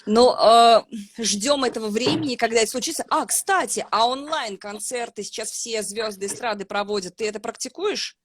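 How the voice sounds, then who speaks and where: Chatty woman host, fairly high voice. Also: normal speed, no echo, clean studio sound. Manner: chatty, friendly questioning. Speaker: interview host. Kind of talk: casual online interview.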